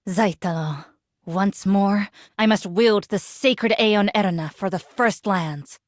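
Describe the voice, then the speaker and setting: An actress playing a solemn, dutiful ancient warrior woman in a video game, speaking in formal, measured, archaic phrases. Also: mid-range voice, no room sound, studio quality, natural voice. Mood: solemn, resolute. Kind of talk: video game character voice lines.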